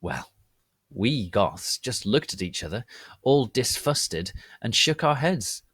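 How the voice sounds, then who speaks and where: A man giving a theatrical, deliberate dramatic reading of a story as its narrator. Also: medium pitch, lively intonation, fairly bright tone, husky, no room sound. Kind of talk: dramatic reading of fan fiction.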